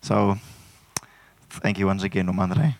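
A man hosting a church service, introducing a guest speaker in a casual, steady way. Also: low-pitched, a little slow, gravelly, a little hiss or hum. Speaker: church host.

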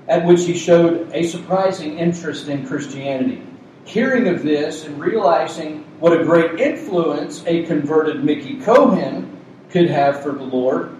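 A man's voice: on the high side, a little mellow, recorded from a distance. A man pastor with a soothing voice delivers a sermon in a conversational, lightly joking way. His voice sounds very echoey and set back from the mic, with a faint noise under it. It was recorded in a community center meeting room. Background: faint hum of a cooling unit.

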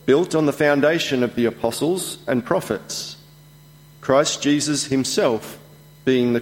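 A man reading a Bible passage aloud in a slow, measured way during a church service. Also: medium pitch. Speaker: scripture reader. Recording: long echo of a church hall.

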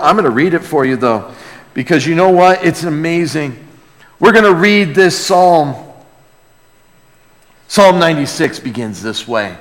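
A man preaching a sermon as a pastor, earnest and emphatic, moving between hushed lines and loud exclamation, with rhetorical questions to the congregation. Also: on the high side. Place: large reverberant room, preaching to a congregation. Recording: long hall reverb.

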